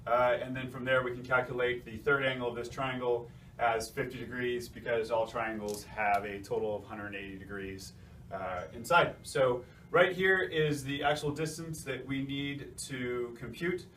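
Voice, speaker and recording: A man's voice: mid-range voice, normal speed, a bit bright. A man giving an informal technical explanation as a presenter, hesitating often with uh and um between phrases. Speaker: technical presenter from a design services company. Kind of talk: informal explainer walking through a worked calculation. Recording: distant mic, faint room noise.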